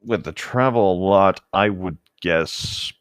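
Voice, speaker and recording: Male co-host, deep voice, dry close sound. Reads fiction aloud and drops in wry, easygoing asides. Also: slow, clear, resonant tone, no echo. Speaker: co-host reading a novel aloud with commentary. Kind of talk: reading aloud with casual joking commentary.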